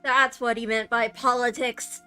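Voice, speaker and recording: A woman's voice: fairly high pitch, flat delivery, measured pace, sharp tone, soft background music. A woman giving casual reactions as she plays a video game. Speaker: let's player.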